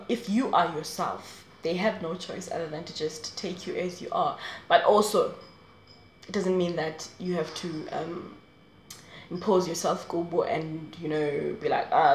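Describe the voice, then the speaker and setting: A woman's voice: on the low side, darkish tone, reverberant, slight background noise. A woman in her twenties talking straight to camera in a casual, rambling advice vlog, laughing often. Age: 20s. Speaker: vlogger. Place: small room indoors.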